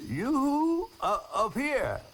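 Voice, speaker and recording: A man playing a chatty, flattering fish in a video game, with a Southern US accent and flowery, wheedling speech. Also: very high-pitched, drawn-out words, very noisy, full character voice. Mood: calling out. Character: folksy, chatty male talking fish, flattering and theatrical. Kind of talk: video game character acting. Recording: music behind the voice.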